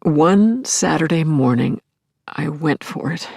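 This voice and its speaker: Grieving middle-aged widow for intimate audio drama: gravelly low edge, unhurried, halting and emotionally charged. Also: female voice, lively intonation, a little mellow, no echo, clean studio sound, full character voice. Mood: tender, wistful. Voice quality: husky, breathy, often dropping to a whisper. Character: grieving middle-aged widow recalling her returned dead husband, tender, yearning. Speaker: lead actor in an audio play. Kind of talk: intimate first-person audio drama monologue.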